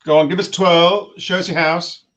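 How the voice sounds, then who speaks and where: A man's voice: sits high, steady tone, moderate pace, fairly bright tone. A man with an English accent hosting a casual, bantering online interview over a video call. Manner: jokey, bantering. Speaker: host. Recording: slightly dull, lo-fi call audio.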